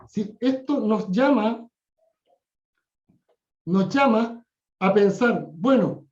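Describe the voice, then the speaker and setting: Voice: mid-range voice, dark tone, echoey room, muffled recording. A Bible class teacher giving a classroom lecture, often repeating phrases and correcting themself mid-sentence. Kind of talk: classroom lecture on scripture history.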